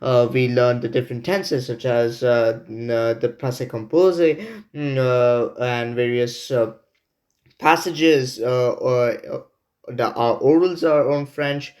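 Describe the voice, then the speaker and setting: Teen guy from india hosting a student podcast, careful, lots of uhs, normal pitch. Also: steady tone, a little mellow. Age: teens. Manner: measured, with many hesitations. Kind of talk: casual discussion.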